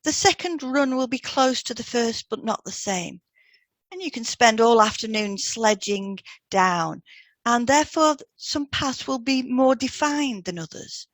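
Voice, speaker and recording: A woman co-hosting a scripted podcast, reading out advice in a gentle, measured way as a therapist would. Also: on the high side, animated, dry recording. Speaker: podcast co-host and hypnotherapist. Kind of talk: scripted podcast advice with example client questions read out.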